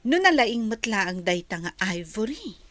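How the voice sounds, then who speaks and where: A woman's voice: medium pitch, sing-song, measured pace, over music. An actress playing an ambitious, scornful female office rival in a Filipino radio drama, haughty and mocking. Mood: boastful, scornful.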